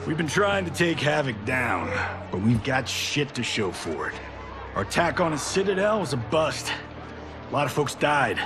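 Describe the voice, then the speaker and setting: An actor playing a cocky, wisecracking American army officer from the Second World War, brash and slangy in a video game. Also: male voice, mid-range voice, very animated, moderate pace, close to an everyday voice. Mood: grim, slangy. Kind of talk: cinematic video game acting. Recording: game cutscene with music behind.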